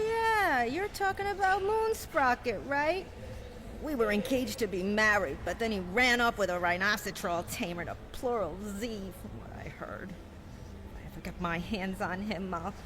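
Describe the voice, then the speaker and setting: A woman's voice: on the high side, expressive, unhurried. A woman playing a scatterbrained, scheming alien lounge drinker in a video game, airy and theatrical. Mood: indignant, ditzy. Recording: clear background noise.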